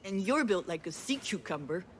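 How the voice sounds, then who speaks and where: An actress playing a proud, combative sister with a blunt, challenging way of talking in game dialogue. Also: female voice, fairly low pitch, sing-song, moderate pace, slight background noise.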